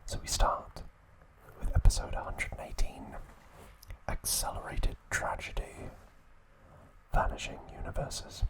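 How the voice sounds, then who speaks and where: A man whispering an ASMR review at home, gentle and relaxed, with a soft unvoiced whisper. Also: unhurried, rough recording. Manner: gentle, relaxed, with occasional fillers. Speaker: ASMR channel host. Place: indoors at home.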